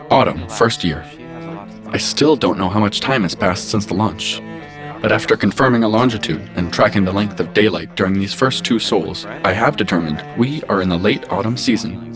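A man voicing a calm, logical artificial intelligence with precise, formal phrasing in a science fiction audio drama. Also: lowish voice, normal speed. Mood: measured, analytical. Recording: music behind the voice.

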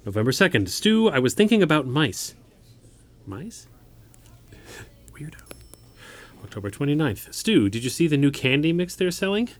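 An earnest young guy in a romantic radio play. He is wry and a little wounded, with a lively, swinging pitch and a natural conversational delivery. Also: moderate pace, pauses often. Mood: wistful. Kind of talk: naturalistic audio drama acting.

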